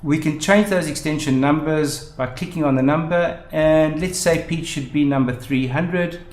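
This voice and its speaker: A man's voice: a little high, normal speed, darkish tone. Man narrating a tutorial in an echoey room. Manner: methodical, step-by-step explaining. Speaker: tutorial presenter. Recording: roomy, echoing voice-over.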